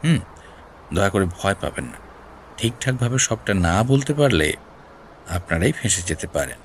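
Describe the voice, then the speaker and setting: An actor playing a shrewd, persistent senior detective in an audio drama, questioning in a deliberate, probing way. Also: male voice, low voice, sing-song, slight background noise. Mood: calm, firm. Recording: music bed behind voice.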